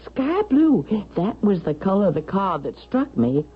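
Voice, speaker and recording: A woman's voice: medium pitch, big pitch swings, a little slow, husky. Troubled, stubborn mother, thin sound. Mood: urgent, fearful. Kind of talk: 1970s radio drama acting. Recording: thin phone-like vintage radio sound.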